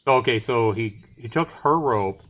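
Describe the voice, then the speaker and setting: A man's voice: mid-range voice, lively intonation, normal speed, fairly bright tone. A man hosting a livestream, chatting informally about a game and reading its text aloud. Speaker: livestream host. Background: game music.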